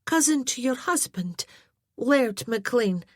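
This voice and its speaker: A woman's voice: fairly high pitch, animated, takes it slowly, dry recording, studio quality, voice pushed up. A woman voicing a clumsy, tearful young noblewoman who talks in a breathless, apologetic rush. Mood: nervous, eager.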